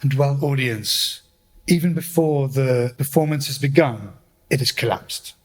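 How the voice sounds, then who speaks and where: Man acting a pompous, husky theatre host. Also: medium pitch, unhurried, lightly acted. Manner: pompous, declamatory. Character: grandiose, self-important male theatre host and poet. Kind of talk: theatrical radio play narration.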